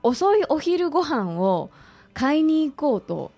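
A woman's voice: high-pitched, very animated, a little slow, warm tone, dry recording, soft background music. A woman talking conversationally as a radio guest, politely and expressively sharing personal recollections.

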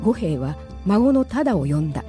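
A woman's voice: fairly low pitch, very animated, moderate pace, over music. A woman narrating a radio drama as a scripted, dramatic story.

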